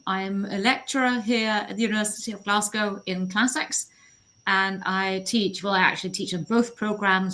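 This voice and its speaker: A woman lecturer giving a brief formal introduction on a video call, measured and deliberate. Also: medium pitch, sharp tone, poor audio.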